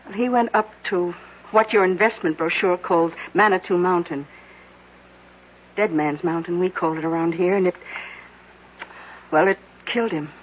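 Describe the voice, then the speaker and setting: An actress playing a dry, knowing small-town widow in an old-time radio drama, sardonic, deliberate and quietly ominous. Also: female voice, on the low side, phone-quality sound, lightly acted. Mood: grave, ominous. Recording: noisy, band-limited vintage radio drama recording.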